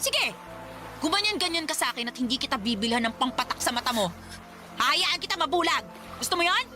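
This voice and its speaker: A cruel Filipino mother in a radio drama, with music underneath. Her high voice leaps up and down as she scolds, dismisses and manipulates with sharp sarcasm. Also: expressive, a little fast. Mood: threatening. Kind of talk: Tagalog radio drama acting.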